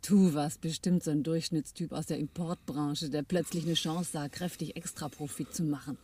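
A woman's voice: low-pitched, steady tone, moderate pace, warm tone, slight background noise. An actress playing a smuggler's wry female accomplice in a German radio drama, speaking in an intimate, teasing way.

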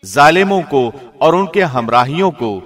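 Man reading scripture with lively intonation. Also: fairly high pitch, soft background music. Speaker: translation reader, radio presenter.

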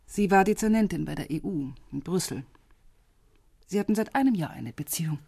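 A middle-aged divorced woman in a radio drama. Her voice is fairly low and husky, her manner wry and guarded, with a bitter, sardonic edge. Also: lively intonation, fairly quick, darkish tone, dry recording, studio quality, heavily acted.

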